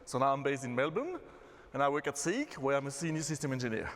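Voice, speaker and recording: A man from Belgium with a Belgian accent, giving a relaxed conference talk as a systems engineer. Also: medium pitch, quick pace. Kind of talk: conference presentation. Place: conference hall. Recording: reverberant hall.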